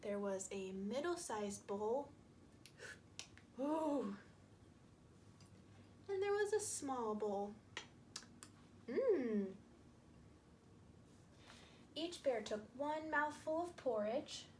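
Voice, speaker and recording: A woman's voice: high-pitched, very animated, a little mellow, slight background noise. A woman telling a story to young children with puppets, repeating and emphasizing phrases as she explains. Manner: slow, repetitive, emphatic, explaining to small children.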